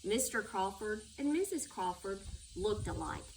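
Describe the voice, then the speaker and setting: A woman's voice: mid-range voice, expressive, unhurried, vocal fry. Distant woman reading a storybook. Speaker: school superintendent reading a children's book. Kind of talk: read-aloud children's storytelling. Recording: distant microphone with background noise.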